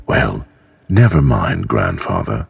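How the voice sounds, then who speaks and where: A man's voice: rumbling low, sing-song, slow, vocal fry, breathy edge, voice pushed down, full character voice. A man voicing an eager, earnest, sweet little girl in a storybook. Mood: consoling.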